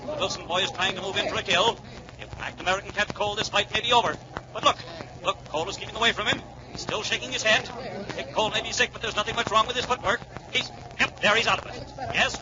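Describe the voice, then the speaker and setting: A man acting an excited 1940s radio fight announcer, calling blow by blow in a high, fast voice. He is dramatic and repeats himself for emphasis. The old recording is muffled and noisy, with a loud crowd roaring behind him. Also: close to an everyday voice. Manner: rapid-fire, dramatic, emphatic repetition. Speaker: ringside sports announcer.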